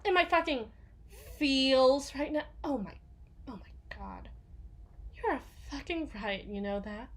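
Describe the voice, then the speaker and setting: A fairly high-voiced, foul-mouthed female bodyguard to villains, acted out by a woman, speaking very slowly. She is gruff and sardonic but haunted, confiding haltingly in an intimate ASMR roleplay monologue. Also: lively intonation, exaggerated voice. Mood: exasperated amusement.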